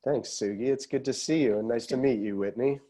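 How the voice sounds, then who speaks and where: A middle-aged American man giving thoughtful interview answers as a guest novelist, reflective and halting, often restarting his words. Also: mid-range voice, warm tone.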